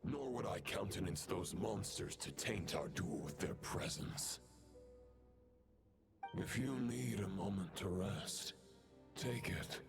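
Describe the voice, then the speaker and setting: A man voicing a grim, proud fallen knight in a video game, speaking in formal, solemn declamations with a gravelly voice. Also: sits low, expressive, slow, full character voice. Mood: solemn, resolute. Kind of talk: dramatic video game voice acting. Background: loud music.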